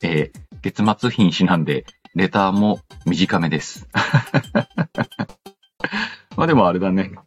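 A man's voice: sits low, measured pace, long pauses, husky. A man hosting a casual radio-style show, reading out letters and announcements with playful asides. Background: background music.

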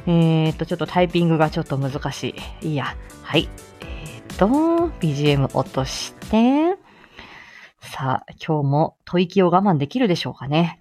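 A woman hosts a casual morning live chat. She is flustered, giggly and chatty, with very lively intonation and soft music underneath. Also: low voice, normal speed. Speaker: livestream host.

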